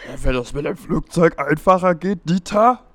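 A man's voice: on the high side, animated, normal speed, no echo, lightly acted. Man playing a chatty, eccentric handyman. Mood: chatty, agreeable. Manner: hesitant, thinking aloud. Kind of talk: German audio play, conversational.

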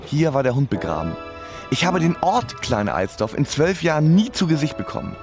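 A man's voice: fairly high pitch, very animated, moderate pace, over music. A man playing a wry, resigned musician who narrates his life laconically in a radio play.

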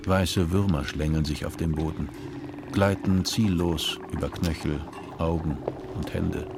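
A man narrating a radio play in a slow, measured way. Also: extremely low pitch, steady tone, gravelly, noisy background.

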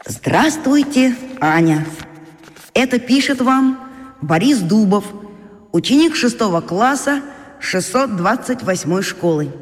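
A man's voice: high voice, animated. An actor playing a shy, earnest schoolboy reading his letter in a children's radio drama, hesitant, polite and sincere. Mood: bashful, apologetic. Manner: hesitant, polite, sincere, pausing between phrases. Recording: long reverb, music underneath. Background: music.

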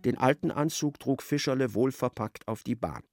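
An actor narrating a literary radio play as a wry, expressive storyteller. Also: male voice, mid-range voice, moderate pace, soft background music, lightly acted.

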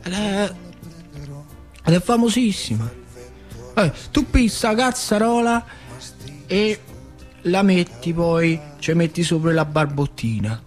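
An actor playing a plainspoken, wistful older factory worker with a central Italian, Lazio accent, in a theatrical monologue with colloquial repetitions. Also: male voice, sits high, animated, slow, long pauses, soft background music. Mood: animated, emphatic. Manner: colloquial, repetitive, dramatic swings from emphatic to hushed. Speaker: stage actor.

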